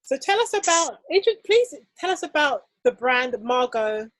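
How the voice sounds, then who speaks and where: A woman's voice: high voice, big pitch swings, normal speed, a bit bright, no echo, rough recording. A woman hosting an online interview as a fashion business coach, talking in an upbeat, enthusiastic way with many fillers.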